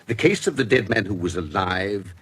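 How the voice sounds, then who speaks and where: Urbane British investigator, low voice, lively intonation, courteous, wordy, probing, period radio drama. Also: male voice, slight background noise. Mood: smooth, purposeful. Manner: courteous, measured, formal and wordy. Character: urbane, shrewd male medico-legal investigator, courteous and probing.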